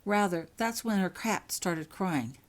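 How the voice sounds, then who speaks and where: A woman's voice: lowish voice, animated, unhurried. A woman voicing a dreamy, eccentric woman professor of magic in a storybook reading, whimsical and earnest. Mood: earnest, wondering.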